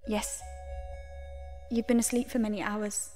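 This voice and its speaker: A woman's voice: medium pitch, little pitch movement, mellow, close to an everyday voice. Woman acting a cryptic apparition over music. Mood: mysterious, calm. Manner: measured, cryptic, portentous. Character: cryptic female apparition speaking inside a dreamer's head. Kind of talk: audio drama acting.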